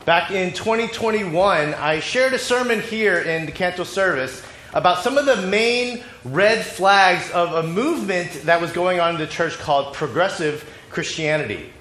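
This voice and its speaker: Guy pastor preaching w a cold, kinda high voice, echoey church hall. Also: expressive, a bit bright, slight background noise. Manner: speaks in short phrases, pausing for the interpreter. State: has a cold. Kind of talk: sermon with interpretation. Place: church sanctuary.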